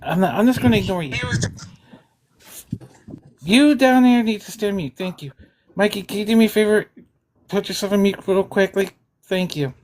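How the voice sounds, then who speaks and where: A woman's voice: mid-range voice, darkish tone, slight background noise. Sarcastic woman lecturing in a voice chat. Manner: sarcastic, lecturing, deliberately slow at times. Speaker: debater in an online voice chat. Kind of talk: heated political argument.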